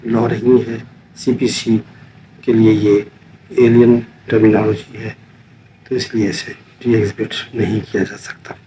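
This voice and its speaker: An older Pakistani man lectures on law in a dark, mellow voice at an ordinary male pitch. He explains haltingly, dropping in English terms. There is a faint-to-clear wash of background noise behind him. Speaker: retired judge teaching law. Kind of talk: informal legal lecture. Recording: clearly noisy background ambience.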